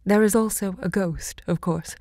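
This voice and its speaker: An actress playing an elderly American woman writer and literary hostess in an audio drama, speaking in a gracious, deliberate, theatrical way. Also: medium pitch, fairly even intonation, dark tone, dry recording, clean studio sound, close to an everyday voice. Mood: reflective, theatrical. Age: older.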